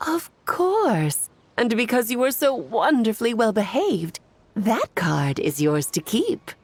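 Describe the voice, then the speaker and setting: A woman's voice: fairly high pitch, big pitch swings, slow pace, husky, exaggerated voice. A woman voicing a breezy, playful witch auntie in a video game, coaxing sweetly and theatrically as if to a child.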